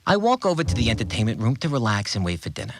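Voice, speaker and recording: A man playing a blunt, irritable, obsessively tidy cleaner in a first-person audio drama, plain-spoken and quick to swear. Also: medium pitch, very animated, over music, natural voice. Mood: satisfied. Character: obsessively orderly, irritable male cleaner, simple-minded rule follower. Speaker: voice actor in a fiction podcast.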